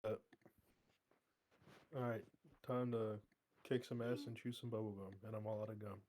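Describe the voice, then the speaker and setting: Hesitant player with a mellow, dark voice. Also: male voice, on the low side, level tone, moderate pace, slight background noise. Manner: hesitant, lots of fillers, quotes action-movie lines. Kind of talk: casual tabletop play.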